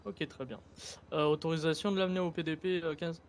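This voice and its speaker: A man's voice: a little high, steady tone, brisk. A man role-playing a sheriff in an online game, giving formal, authoritative orders. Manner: formal, curt, authoritative commands. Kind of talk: improvised law-enforcement role-play. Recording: faintly noisy gaming voice chat.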